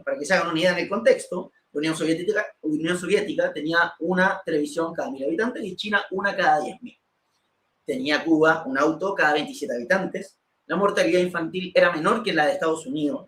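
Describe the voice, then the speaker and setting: A man's voice: on the high side, a bit bright. A man presenting a political interview show as host, reading from prepared notes. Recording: roomy, echoey room sound.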